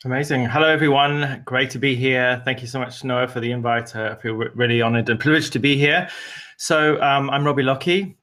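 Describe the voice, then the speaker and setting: A man's voice: medium pitch, fairly even intonation, a little fast, pushed and bright. A man from Zimbabwe, a guest in an interview, telling his story earnestly with many fillers and restarts. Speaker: guest, media company co-founder. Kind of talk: conversational interview answers.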